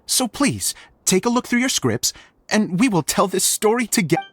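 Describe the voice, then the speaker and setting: An actor playing a flamboyant, excitable middle-aged theatre director in game dialogue, with a grandiloquent manner. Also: male voice, sits high, normal speed, slightly rough, exaggerated voice. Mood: grand, inspired.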